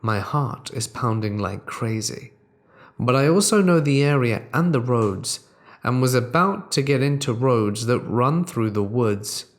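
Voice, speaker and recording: A man's voice: medium pitch, animated, unhurried. Man narrating true horror stories.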